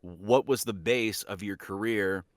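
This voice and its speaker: Low smooth male voice, dry close sound, chatting keenly like a podcast guest. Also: a little slow, no room sound. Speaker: voice actor guest. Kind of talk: casual podcast conversation.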